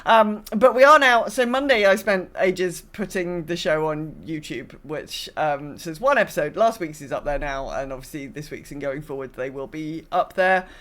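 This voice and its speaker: A woman's voice: low-pitched, moderate pace, hardly any pauses, fairly bright tone. A woman hosting a podcast, chatting casually in a rambling, wryly exasperated way. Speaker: podcast host. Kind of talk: casual chat.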